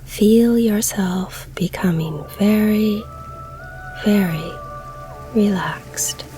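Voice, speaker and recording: A woman leading a scripted guided meditation in a soft, breathy, soothing delivery with long pauses. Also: medium pitch, low-quality recording. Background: calm background music.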